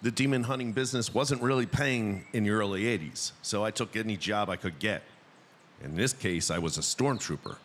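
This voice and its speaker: A man's voice: fairly low pitch, normal speed, a bit bright, a little hiss or hum. A man hosting a podcast and narrating a scripted first-person story in a dramatic storytelling manner.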